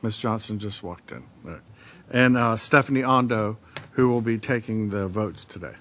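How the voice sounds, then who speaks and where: A man's voice: fairly low pitch, normal speed, fairly bright tone, a little hiss or hum. A man, a planning director, briefly introducing his staff at a public meeting. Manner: brief, matter-of-fact. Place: county meeting chamber.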